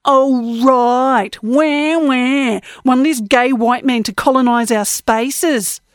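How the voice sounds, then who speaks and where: A woman's voice: a little high, unhurried, a little mellow, no room sound, studio quality, lightly acted. A woman voicing a coarse, aggressive, jeering female bar bully in an audiobook. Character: belligerent, drunk, sneering female bar bully.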